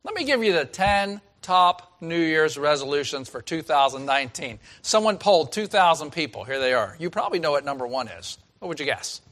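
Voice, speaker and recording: A pastor with a raspy voice preaching a sermon in a folksy, chatty way, asking the congregation questions. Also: male voice, on the high side, expressive, a little fast, hardly any pauses, fairly bright tone. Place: church.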